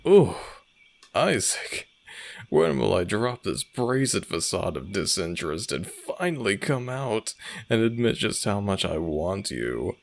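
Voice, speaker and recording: Man acting as cocky werewolf alpha, very low voice, unhurried, flirtatious and smug, theatrical teasing, romantic audio drama. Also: very animated, exaggerated voice. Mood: mock swooning. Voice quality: low, gravelly.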